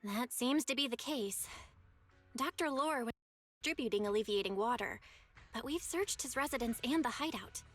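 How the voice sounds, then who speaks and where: A composed young investigator from a video game. Her voice is fairly high, measured and serious, with faint music behind it. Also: animated, dry recording. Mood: serious, focused. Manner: measured, serious, matter-of-fact.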